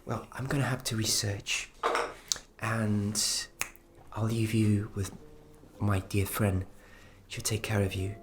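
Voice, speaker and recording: A woman playing a gentle, reassuring fairy caretaker in a fantasy ASMR roleplay, speaking in a hushed, unhurried, partly whispered way. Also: rumbling low, little pitch movement, noisy background.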